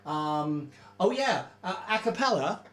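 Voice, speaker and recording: A man chats loosely through an informal livestream, trailing off and filling gaps with ums. His voice sits a little high for a man. The sound bounces around a roomy space. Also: unhurried. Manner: relaxed, chatty, rambling with many fillers. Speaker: band member hosting a livestream.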